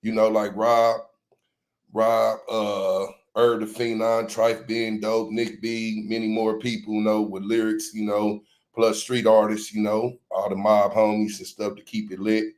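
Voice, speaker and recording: A man being interviewed as a music promoter, telling his story in relaxed, slang-filled casual talk. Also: on the low side, measured pace. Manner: laid-back slang-heavy storytelling.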